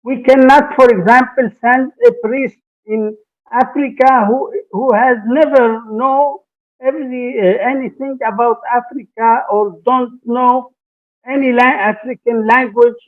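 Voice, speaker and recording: Hesitant priest, high voice. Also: male voice, fairly even intonation, unhurried. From Lebanon. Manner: hesitant, many fillers and restarts. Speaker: priest and theology professor. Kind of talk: conference panel talk. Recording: tinny, phone-like conference stream.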